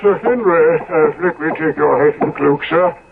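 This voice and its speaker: An actor playing a deferential, easily flustered English manservant in a 1970s radio drama. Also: male voice, a little high, moderate pace, vocal fry, background noise. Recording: tinny old radio recording.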